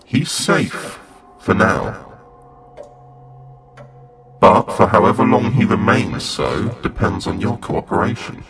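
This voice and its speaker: A man's voice: on the low side, expressive, slow pace, pauses often. An actor playing a sinister, devilish male villain in an audio drama, smug and taunting. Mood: smug menace. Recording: dramatic music under the voice.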